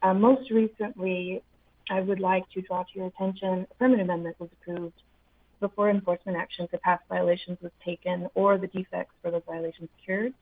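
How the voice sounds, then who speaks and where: A soft-spoken woman, a senator's community affairs director, reading an earnest prepared public comment by phone with frequent ums. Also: on the low side, flat delivery, a little fast. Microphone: phone call-in. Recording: tinny phone line.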